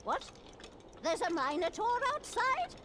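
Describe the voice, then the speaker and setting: A woman voicing a slightly deaf nun clockmaker in a video game, distracted, gentle and apologetic as she works. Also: very high-pitched, sing-song, measured pace, heavy background noise, exaggerated voice. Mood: puzzled, chatty. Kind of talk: video game character dialogue.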